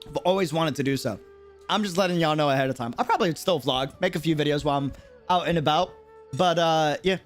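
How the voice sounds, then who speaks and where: A man's voice: fairly high pitch, fast talker, soft background music. An American man chatting casually and reacting in slang as he streams a game. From United States. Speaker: live streamer. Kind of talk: casual game livestream commentary.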